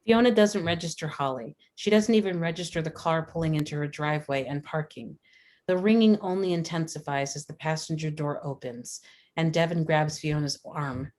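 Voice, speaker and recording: Female narrator for script stage directions, deep register, scripted narration. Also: a little mellow.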